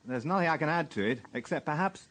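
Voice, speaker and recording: A man's voice: fairly high pitch, lively intonation, fast, fairly bright tone. An actor playing a weary, dry-witted male police inspector in a radio drama, wry and understated. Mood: weary, curt. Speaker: narrator and lead actor. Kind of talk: radio drama acting and first-person narration.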